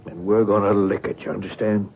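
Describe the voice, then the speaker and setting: A man's voice: on the low side, level tone, a little fast, natural voice. An actor playing a blunt, folksy, devoted father who coaches and nags, in a radio drama. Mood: insistent, rousing. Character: gruff, pushy, devoted father and coach, middle-aged or older. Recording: tinny phone-like old radio broadcast, faint noise.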